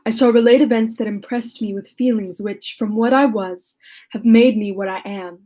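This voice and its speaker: A woman reading aloud as a lonely male creature who tells his own story in a measured, formal way. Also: mid-range voice, fairly even intonation, dark tone, natural voice. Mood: earnest. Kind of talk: reading a classic novel aloud.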